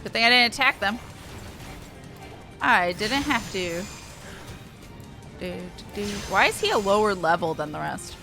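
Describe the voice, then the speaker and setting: A woman streamer commenting casually on her game. Her voice is bright and mid-pitched, her way of talking playful and irreverent. Faint music plays behind her. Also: big pitch swings, unhurried, pauses often.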